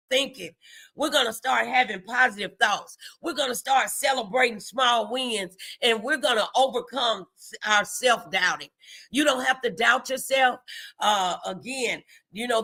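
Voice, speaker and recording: A woman's voice: a little high, bright, forceful tone. A middle-aged American woman hosting a motivational faith talk, exhorting listeners in an emphatic, preacher-like way. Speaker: host of a morning motivational show.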